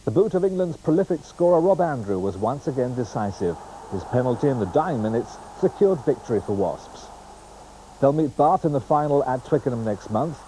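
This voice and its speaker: A man's voice: medium pitch, animated, fairly quick. A British man reading a scripted sports bulletin as a television news presenter. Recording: clear background noise, 1990s TV broadcast.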